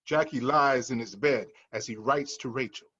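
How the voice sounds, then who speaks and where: Husky man reading screenplay directions. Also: medium pitch, moderate pace, a bit bright, highs cut off. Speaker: stage directions reader. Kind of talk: scripted screenplay narration at a table read.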